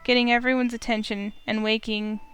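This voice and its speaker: A woman's voice: fairly high pitch, a little fast, clear, resonant tone, soft background music. Woman reading a visual novel aloud. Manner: expressive, first-person storytelling. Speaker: streamer.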